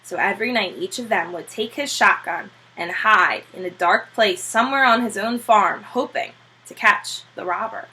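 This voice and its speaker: A woman reading a children's book aloud as a teacher, expressive and emphatic, with brief asides to listeners. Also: mid-range voice, moderate pace, poor audio.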